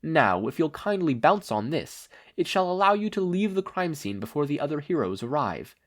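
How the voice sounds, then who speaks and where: A man performs a theatrical gentleman hero in a story reading. The voice is lifted and swoops grandly through florid, old-fashioned phrases, pompous yet unfailingly courteous. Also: on the high side, very animated, normal speed, pitched above the performer's own voice. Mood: grandly magnanimous.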